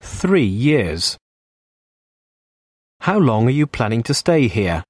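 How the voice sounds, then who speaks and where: A man reading English phrases one at a time for learners, slow and clear, with a pause after each. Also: medium pitch, big pitch swings, no echo, studio quality. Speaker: phrase reader.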